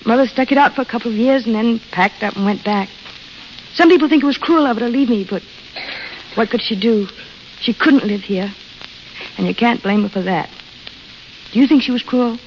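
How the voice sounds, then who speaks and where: Woman as a frank young American waitress, highish voice. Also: brisk, pauses often, close to an everyday voice. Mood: wistful, confiding. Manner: plain-spoken, frank. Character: blunt, restless young desert waitress, dreamy and wistful. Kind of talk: 1930s-40s radio drama acting. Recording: muffled, hissy vintage radio recording.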